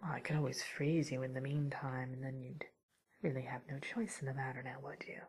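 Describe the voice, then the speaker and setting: A woman acts out a sly, nervous witch in an ASMR roleplay. The voice is a low, very breathy whisper with a creaky edge, musing and hesitant as it softly threatens and gossips. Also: fairly even intonation, fairly quick, full character voice. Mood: politely threatening. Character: mildly menacing, nervous, gossipy witch catching a burglar.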